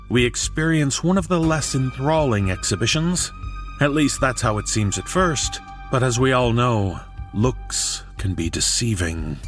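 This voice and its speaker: A man hosting a horror podcast, reading a scripted introduction in a measured, playfully ominous way. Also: medium pitch, fairly bright tone. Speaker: podcast host.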